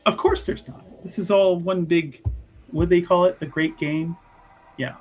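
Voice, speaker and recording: Casting: male player as probing female investigator, coy and insinuating, raised pitch, husky, fantasy roleplay. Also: steady tone, normal speed, soft background music. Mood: teasing. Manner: teasing, insinuating, theatrically polite. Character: sly, probing female investigator, coolly flirtatious and teasing.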